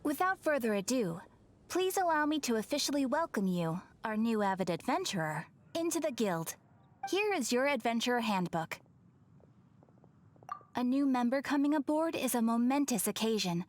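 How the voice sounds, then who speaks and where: A woman's voice: on the high side, very animated, normal speed, a little hiss or hum. A young woman playing a polite, welcoming, formal guild receptionist in a video game. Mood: welcoming, ceremonious. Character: courteous, cheerful female adventurers' guild receptionist. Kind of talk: scripted video game character acting. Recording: soft game music behind the voice.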